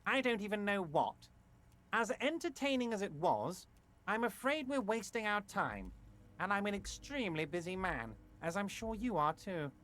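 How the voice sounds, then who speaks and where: A man's voice: high voice, very animated, normal speed, fairly bright tone. An actor voicing a pompous, patronizing middle-aged male union boss in a video game, theatrical and syrupy. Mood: mock-soothing.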